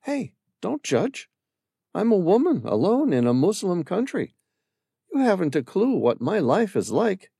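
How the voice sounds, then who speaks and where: Male narrator as a guarded, resolute woman alone abroad; raised pitch, slow, wary delivery. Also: sing-song, vocal fry, mellow, husky, dry recording, clean studio sound, full character voice. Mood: defensive. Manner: wary, quick to shut down.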